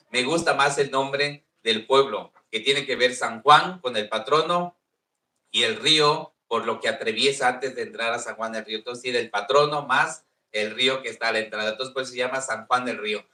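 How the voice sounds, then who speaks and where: Mexican man, Nahuatl native, bright earnest panel speaker, heard from across an echoey room. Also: mid-range voice, normal speed. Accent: Mexican Spanish. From Veracruz, Mexico. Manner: earnest, repeats himself, proud. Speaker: Nahuatl teacher and contest winner. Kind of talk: formal speech at a panel. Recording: echoey room, distant mic.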